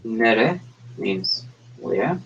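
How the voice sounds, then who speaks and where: Slow, halting male language teacher. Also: medium pitch, expressive, creaky, fairly bright tone, slight background noise. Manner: hesitant, halting, with many pauses and fillers. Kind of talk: slow explanatory lecture. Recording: dull lo-fi recording.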